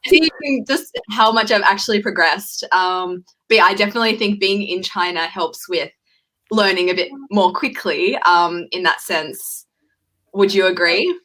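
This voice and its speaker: A young woman hosting a livestream chat from a room at home, talking in a friendly, relaxed and thoughtful way. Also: mid-range voice, unhurried. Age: young adult. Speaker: livestream host.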